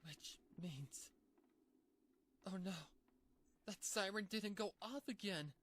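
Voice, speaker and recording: A man's voice: sits high, takes it slowly, fairly bright tone, pitched above the performer's own voice, heavily acted. A man voicing a frightened young female cartoon pony, talking anxiously to herself in a storybook reading. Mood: alarmed. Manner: anxious, breathless self-talk with repetitions.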